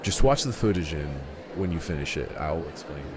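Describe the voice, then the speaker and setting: A man playing a calm, casual military operative and gadget maker in an amateur audio drama. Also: a bass voice, fairly quick, a crowd in the background. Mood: matter-of-fact. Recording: clearly noisy home recording.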